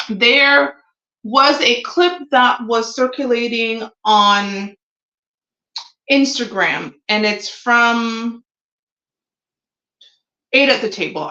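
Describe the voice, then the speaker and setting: A woman's voice: on the low side, fairly bright tone, roomy sound, dull audio. A woman in her forties, born in Jamaica and raised in Toronto, hosting a frank solo talk show with plenty of ums. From Jamaica, raised in Toronto, Canada. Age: 40s. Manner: frank, opinionated, with frequent ums. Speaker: show host. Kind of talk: solo opinion livestream talk.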